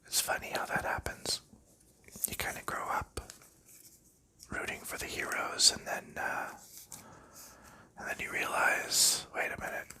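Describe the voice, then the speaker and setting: A man, host of an ASMR channel, softly whispering in a rambling, casual way while sleeving board game cards. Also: unhurried, long pauses. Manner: soft rambling whisper with light laughs and fillers.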